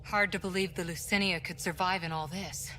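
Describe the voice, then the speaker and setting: A woman's voice: on the low side, fairly even intonation, fairly quick. A woman playing an earnest, capable soldier and respectful second-in-command in a video game. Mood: focused. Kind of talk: video game cutscene acting. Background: loud game music.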